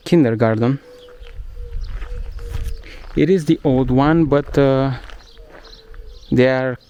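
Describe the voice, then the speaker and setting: A man's voice: mid-range voice, warm tone, clear, resonant tone. A young man with a Romanian accent whose first language is Romanian, chatting casually and hesitantly as he walks through a village and searches for English words. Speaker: travel vlogger. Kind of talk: casual walking vlog narration. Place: outdoors in a village.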